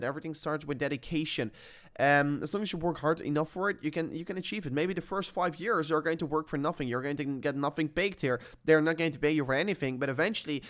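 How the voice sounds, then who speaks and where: Young man, twenties, slightly high-pitched, rambling motivational vlog talk, lots of fillers and self-corrections. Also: fairly bright tone. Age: 20s. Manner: repeats himself, many "I mean" and "like" fillers, self-corrects often. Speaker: crypto YouTuber.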